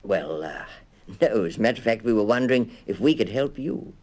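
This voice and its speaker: A proper, well-meaning storybook husband, acted by a man reader speaks in a low voice. He is fussy and hesitant, a little flustered in his manner. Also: expressive, fairly quick, darkish tone. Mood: embarrassed. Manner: doubtful, fussy, slightly flustered. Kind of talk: children's audiobook character voice.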